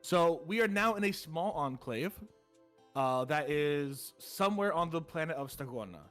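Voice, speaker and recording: A man with a slightly raised pitch guides players through a tabletop storytelling game over an online call. He shifts between a solemn, storybook reading of the lore and loose, rambling explanations of the rules. Faint music plays underneath. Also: expressive, normal speed, a bit bright. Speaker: game facilitator.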